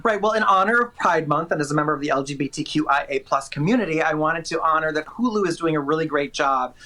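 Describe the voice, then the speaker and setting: A man's voice: a little high, fast talker, pushed and bright. A man working as a film critic, giving chatty, enthusiastic and opinionated movie reviews with emphatic delivery.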